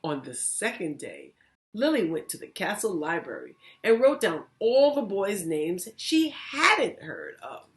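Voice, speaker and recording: A woman narrating a children's story as a reading teacher, deliberate and theatrical in her phrasing. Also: medium pitch, huge pitch swings. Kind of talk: expressive children's read-aloud narration.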